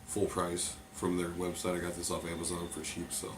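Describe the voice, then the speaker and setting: A man's voice: low-pitched, completely flat delivery, a little fast, heavy background noise. A man with a cold, sounding stuffed up, chatting casually through a mask unboxing with lots of fillers. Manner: offhand, rambling, many fillers. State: congested from a cold. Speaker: mask collector reviewing a mask. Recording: loud music playing behind the voice. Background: loud music from a movie playing.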